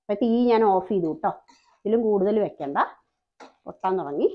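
A woman's voice: medium pitch, lively intonation, brisk, muffled recording. Chatty woman explaining a recipe. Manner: chatty, explains each step, frequent tag questions. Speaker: cooking channel host. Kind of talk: cooking demonstration voice-over.

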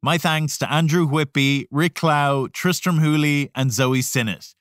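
A man's voice: a little high, measured pace, a bit bright, dry recording. Male podcast host reading scripted narration.

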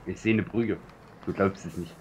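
A man with a German accent chatting over voice chat during a driving game, brisk and teasing toward his friend. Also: sits low, fairly even intonation. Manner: teasing, brisk and matter-of-fact. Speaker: co-player on voice chat. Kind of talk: casual gaming chat. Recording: dull voice-chat audio with faint noise.

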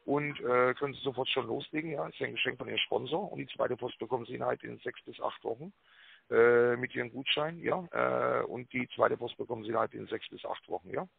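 A man giving a rehearsed telephone sales pitch, full of tag words and hesitations, with a raspy voice. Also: fast, dry recording. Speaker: telemarketing caller pitching a prize draw. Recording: narrow tinny phone line.

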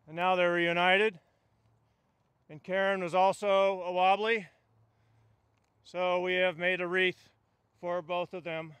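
A man's voice: high-pitched, level tone, slow pace, long pauses, fairly bright tone, smooth, no echo. An older man, a union delegate, giving a funeral eulogy outdoors at a stand mic, with a wry storytelling delivery. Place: outdoors at a funeral gathering among trees.

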